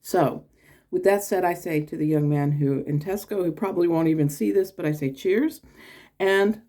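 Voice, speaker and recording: A woman's voice: moderate pace, warm tone. An American woman telling a casual travel story in her vlog, rambling with fillers and restarts. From United States. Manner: acts out quoted people in a higher voice. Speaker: channel host.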